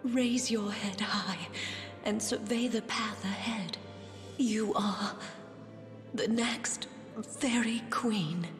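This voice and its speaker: A woman voicing a dying fairy queen mother in a video game, solemn and tender, speaking slowly in hushed, breathy whispers with regal, archaic phrasing. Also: medium pitch, close to an everyday voice. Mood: grave, urgent. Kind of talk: dramatic video game voice acting. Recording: echoing reverb under game music.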